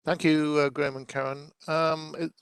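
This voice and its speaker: Gravelly man chairing a meeting. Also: on the high side, little pitch movement, normal speed, no echo. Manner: brief, polite turn-giving. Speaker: panel chair.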